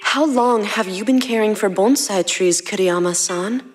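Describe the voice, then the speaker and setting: A refined young clan princess, acted by a woman, calm and caring in an audio anime story. The delivery is measured, at a medium pitch, over light music. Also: lightly acted.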